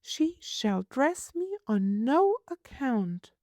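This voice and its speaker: A woman's voice: sits high, very animated, very slow, darkish tone, no echo, clean studio sound, pitched above the performer's own voice, heavily acted. A woman voicing a small, solemn, homesick little girl in a storybook, speaking in precise, imperious, formal phrases. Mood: imperious, particular.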